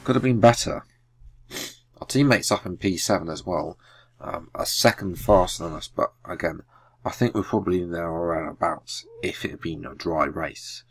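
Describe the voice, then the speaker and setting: A man's voice: low-pitched, moderate pace. A man hosting a racing-game video, talking casually over gameplay, sniffly from hay fever and often correcting himself. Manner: rambling, self-correcting, apologetic. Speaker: gaming video host.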